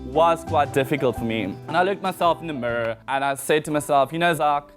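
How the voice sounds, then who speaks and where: A man's voice: on the high side, fairly quick. A young man from South Africa with an Afrikaans accent, chatting casually as an interview guest and laughing often. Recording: music bed underneath.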